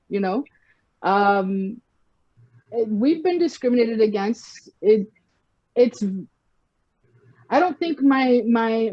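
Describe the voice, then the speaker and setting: A woman's voice: fairly high pitch, dark tone, dry recording. A woman with a South Texas accent, speaking frankly as a guest in a casual podcast conversation. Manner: frank, conversational, many fillers. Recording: slightly muffled lo-fi sound.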